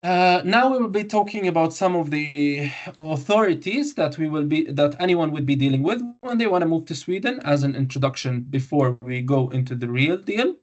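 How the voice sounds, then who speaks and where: A man in his twenties from Syria, a doctor giving an informal webinar presentation, halting with many fillers and self-corrections over a video call. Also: a little high, lively intonation. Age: 20s. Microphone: video call microphone. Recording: muffled lo-fi webinar audio.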